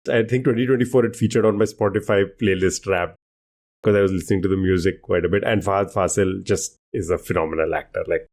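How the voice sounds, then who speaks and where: A man's voice: sits low, steady tone, quick pace, a little mellow. A man whose first language is Hindi, co-hosting a podcast in casual, enthusiastic chat with lots of fillers and restarts. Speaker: podcast co-host.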